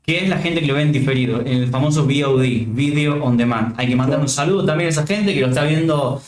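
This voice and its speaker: A man from Catamarca with an Argentine Spanish accent, hosting a relaxed stream chat from a home set, thankful and joking. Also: fairly high pitch, quick pace, roomy sound, crowd noise. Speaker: stream host.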